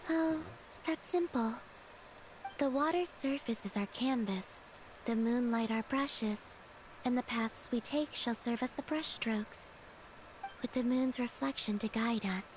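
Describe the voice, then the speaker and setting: A woman plays a gentle female moon spirit from an anime game. Her voice is fairly high, and she speaks with formal, poetic grace and serenity, over faint ambience. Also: a little slow, a little hiss or hum, lightly acted, low-quality recording. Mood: serene. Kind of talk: anime video game voice acting.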